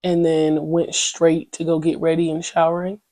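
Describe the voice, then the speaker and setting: A woman's voice: low-pitched, flat delivery, normal speed, mellow, no room sound. A young woman hosting her own podcast, chatting candidly in a slangy, rambling way full of "you know what I'm saying.". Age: young adult. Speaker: podcast host. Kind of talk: casual solo podcast chat.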